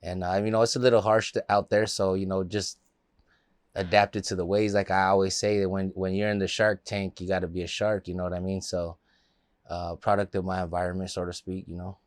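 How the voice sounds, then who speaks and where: A man's voice: low voice, flat delivery, brisk, no echo, studio quality. A man from the Los Angeles area, a guest in a casual interview, telling stories in a loose, laid-back way with plenty of fillers.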